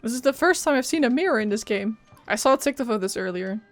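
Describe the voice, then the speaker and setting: A woman's voice: fairly high pitch, animated, quick pace. A woman game streamer giving casual live reactions, exclaiming and joking as she plays. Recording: faint game music behind. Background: game music.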